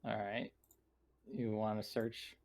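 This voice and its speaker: A man with a low voice takes part in a tabletop roleplaying game. He speaks casually but decisively, steering what the group does next. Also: little pitch movement, slow, a little mellow.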